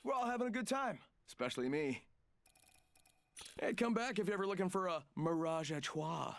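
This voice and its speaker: A man's voice: high voice, lively intonation, moderate pace, vocal fry, no echo. An actor voicing a cocky, joking male trickster fighter in a video game, with smug, rambling asides. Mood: cocky, playful. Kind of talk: video game character voice lines.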